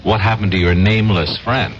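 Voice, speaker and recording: Wary male reporter played by an actor, deep voice, wry and hesitant, vintage radio drama, muffled sound. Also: steady tone, fairly quick, a little hiss or hum, close to an everyday voice. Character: wary, skeptical, down-on-his-luck male reporter. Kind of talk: 1970s radio drama acting. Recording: dull old radio recording, faint music.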